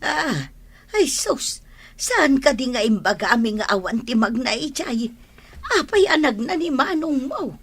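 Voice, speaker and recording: Woman playing scolding old ilocano lola, raspy and a bit high, fretful, radio comedy. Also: sing-song, unhurried, exaggerated voice. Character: scolding, fretful village grandmother, old and stern.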